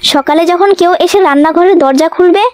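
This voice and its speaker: A woman's voice: very high-pitched, normal speed, a little mellow. A woman voicing a sly, greedy young fox in a children's cartoon, talking in a wily, dramatic, chatty way. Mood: dismayed. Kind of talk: children's cartoon character dialogue.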